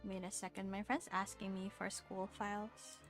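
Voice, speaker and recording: Casual young woman streamer over soft music. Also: mid-range voice, little pitch movement, moderate pace. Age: young adult. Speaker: game streamer. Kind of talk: casual live stream chat.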